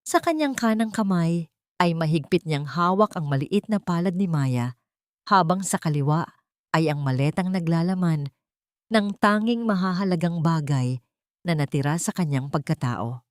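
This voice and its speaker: A woman's voice: lowish voice, lively intonation, normal speed, no echo, clean studio sound. A woman storyteller narrating a scripted dramatic audio story in a solemn, literary manner.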